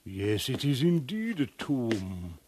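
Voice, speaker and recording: A man's voice: medium pitch, very animated, slow, darkish tone, a little hiss or hum. A man acting a pompous, dismissive scholar leading an expedition, declaiming in 1950s radio drama style. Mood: awed.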